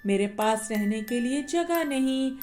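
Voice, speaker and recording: Woman playing a lazy male parrot, lower and grumbling, whiny, cartoon story, slow. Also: lively intonation, darkish tone, faint music behind.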